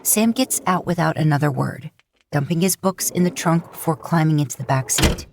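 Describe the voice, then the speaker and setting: A woman narrating a fan fiction audiobook in a steady storytelling manner. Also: deep voice, moderate pace, creaky, noisy background.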